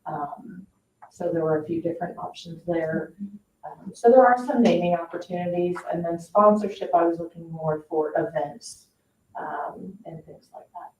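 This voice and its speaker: A woman's voice: lowish voice, normal speed, a little mellow, a little hiss or hum. A woman explaining things informally and with some hedging at a board meeting, as a parks staff member. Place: indoor public board meeting room. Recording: echoey meeting room, distant dull mic.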